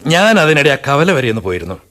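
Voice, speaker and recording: A man's voice: a little high, big pitch swings, brisk, fairly bright tone. An actor playing a kindly railway station master who narrates and talks with friends and his wife in a radio drama. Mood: earnest.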